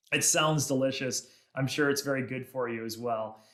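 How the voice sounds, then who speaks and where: A man's voice: medium pitch, fairly bright tone. Enthusiastic podcast host man, roomy sound. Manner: friendly, many "uh" pauses, enthusiastic. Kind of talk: casual interview chat.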